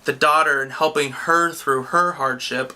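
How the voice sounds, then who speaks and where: A man co-hosting a casual conversational movie review, thinking out loud and rephrasing as he goes. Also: on the high side, steady tone, a little slow, poor audio. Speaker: co-host of a movie review show.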